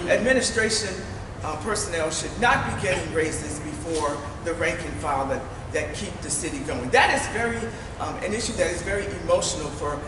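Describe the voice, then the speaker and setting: A woman running for mayor, giving an earnest, emphatic forum speech that repeats phrases for stress. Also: lowish voice. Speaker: mayoral candidate. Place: large meeting hall. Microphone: distant room mic. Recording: echoey hall from far off, noisy.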